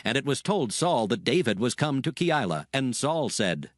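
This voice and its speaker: A man narrating scripture in a solemn, measured, dramatic storytelling style. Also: medium pitch, sing-song, no room sound, studio quality. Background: music bed.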